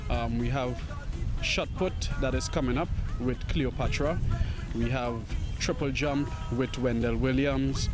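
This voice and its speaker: A man, an athletics coach and camp presenter, explaining his program in a measured way with fillers, outdoors at a sports field. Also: medium pitch. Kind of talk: interview on camera.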